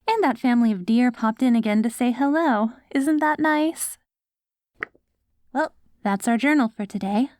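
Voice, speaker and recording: A woman's voice: a little high, moderate pace, darkish tone, no room sound, clean studio sound, close to an everyday voice. A woman playing a doting, patient wife in an ASMR roleplay audio drama, coaxing sweetly like a caregiver. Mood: fond, chatty. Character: doting wife caring for her ghoul husband after apocalypse.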